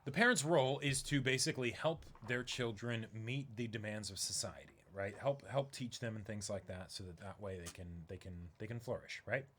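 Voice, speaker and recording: A man's voice: fairly low pitch, fairly quick, hardly any pauses, fairly bright tone, slight background noise. A man co-hosting a manga reading stream, talking casually in an emphatic, opinionated way and repeating phrases for stress. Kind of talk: casual discussion.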